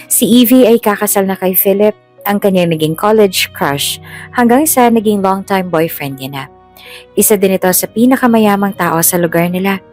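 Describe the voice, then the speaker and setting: A woman narrating a scripted dramatic story as storyteller, mixing in English phrases. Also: on the low side. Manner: mixes English phrases into Tagalog.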